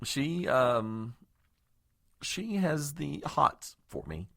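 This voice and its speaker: A man's voice: medium pitch, sing-song, drawn-out words, vocal fry, fairly bright tone, dry recording, exaggerated voice. An actor playing a self-important, married political aide in an audio comedy, nervously stammering and stiffly formal as he fends off trouble. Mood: anxious, secretive. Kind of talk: comedic audio drama acting.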